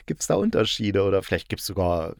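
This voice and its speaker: A man's voice: sits low, sing-song, fairly quick, creaky, no echo, studio quality. A man chatting casually as a podcast host, using colloquial, blunt and slangy phrasing. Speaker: podcast host discussing audio dramas. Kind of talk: casual chat.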